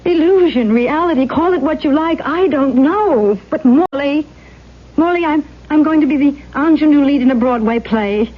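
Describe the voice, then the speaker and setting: Woman playing an anguished young actress, high voice, hesitant, old radio drama, muffled recording. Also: normal speed, lightly acted. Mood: torn, pleading. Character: anguished, earnest young aspiring stage actress. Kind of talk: 1970s radio drama acting.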